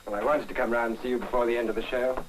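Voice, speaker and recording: An actor with an upper-class British accent playing a trusting, smitten army officer in 1940s film style, polite and earnest. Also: male voice, mid-range voice, little pitch movement, rapid-fire, natural voice. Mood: earnest, affectionate. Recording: noisy old film soundtrack.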